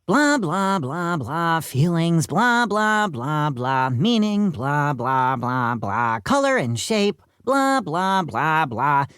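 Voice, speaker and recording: A woman's voice: low-pitched, big pitch swings, slow pace, few pauses, fairly bright tone, smooth, dry recording, clean studio sound, exaggerated voice. A woman voicing a pompous art history professor who lectures grandly in a children's audiobook. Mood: droning lecture.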